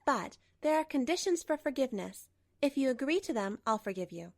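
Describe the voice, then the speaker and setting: A woman's voice: high-pitched, expressive, a little fast, no echo, clean studio sound. A woman voicing a wronged young wife in a manga drama, firm and insistent as she speaks. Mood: stern.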